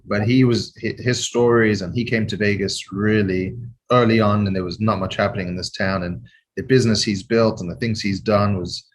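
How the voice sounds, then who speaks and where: Low-voiced man in his thirties. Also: fairly even intonation, moderate pace, rough recording. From born in South Africa, grew up in London. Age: 30s. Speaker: interview guest, casino chief executive. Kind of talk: casual conversational interview talk.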